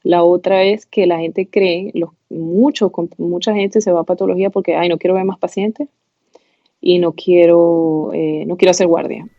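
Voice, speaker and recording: A woman's voice: on the low side, steady tone, moderate pace, no room sound. Candid Venezuelan woman, lo-fi call. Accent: Venezuelan Spanish. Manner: candid, many fillers and restarts. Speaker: pathology resident doctor, guest. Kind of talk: casual interview chat. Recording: dull lo-fi sound.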